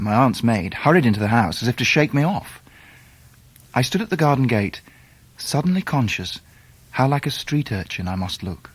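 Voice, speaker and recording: An actor playing an earnest grown gentleman narrating his boyhood in a radio drama, measured and literary, with an English accent. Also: medium pitch, breathy edge. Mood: reflective. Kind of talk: scripted first-person drama narration.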